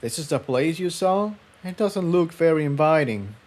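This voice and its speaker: A man voicing a wry, cautious male unicorn pony who tinkers, in a dry, low-key manner. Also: lively intonation, moderate pace, darkish tone, natural voice. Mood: wary.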